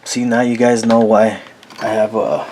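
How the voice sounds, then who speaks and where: A man with a very low voice talking casually and slowly while painting, with lots of fillers and a laid-back manner. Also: flat delivery, a little mellow, slight background noise. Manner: slow, rambling, frequent fillers, laid-back. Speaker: painting tutorial host. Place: small room at a painting table.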